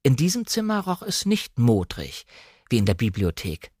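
Male narrator, standard German, medium pitch. Measured, precisely articulated literary storytelling, close and dry studio recording. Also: wildly swooping pitch, darkish tone, slightly rough, no echo. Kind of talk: scripted literary narration, audiobook-like radio reading.

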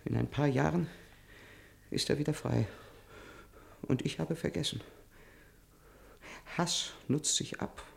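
An actor playing a gravely wounded, stubborn and vengeful man in a German radio drama, weak and in pain, with a breathy, strained, halting delivery. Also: fairly high pitch, full character voice. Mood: bitter resolve. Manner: halting, labored, resolute.

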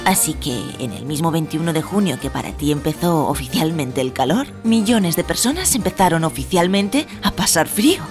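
A woman's voice: lowish voice, a little mellow. A woman hosting a scripted radio show, addressing the listener conversationally with rhetorical questions. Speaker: radio host. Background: music.

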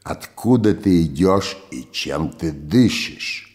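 A man voicing a wary older male gang boss who speaks curtly and gruffly. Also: deep voice, animated, takes it slowly, a little mellow, pitched below the performer's own voice. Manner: gruff, curt.

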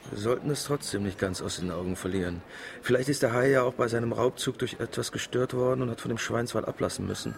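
An actor playing an assured, coaxing uncle and amateur marine researcher in a German radio drama. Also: male voice, medium pitch, fast talker, creaky, a little hiss or hum, close to an everyday voice. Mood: calm, thoughtful.

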